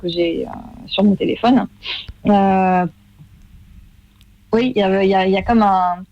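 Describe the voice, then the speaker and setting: A woman's voice: medium pitch, steady tone, unhurried, vocal fry, muffled recording. A woman in her thirties, a guest in a radio interview, speaking hesitantly with many fillers and restarts. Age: 30s. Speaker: guest, former masterclass student.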